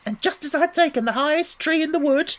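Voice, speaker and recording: A man voicing a fussy mother pigeon who shrieks and speaks with deep contempt. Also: brisk, fairly bright tone. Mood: scolding. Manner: shrill, contemptuous, scolding. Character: fussy shrieking female pigeon guarding nest.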